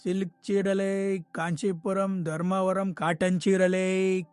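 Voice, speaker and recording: A man playing a street hawker in a cartoon story, crying his wares in a drawn-out sales call. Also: high-pitched, flat delivery, a little mellow, clean tone, no room sound, faint music behind. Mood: hawking. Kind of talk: cartoon moral-story acting.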